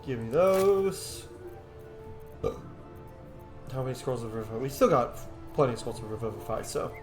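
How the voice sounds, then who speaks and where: A man's voice: medium pitch, lively intonation, normal speed, roomy sound. Offhand male gamer over faint music. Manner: offhand, playful, talking to self. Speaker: gaming video host. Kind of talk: casual playthrough commentary.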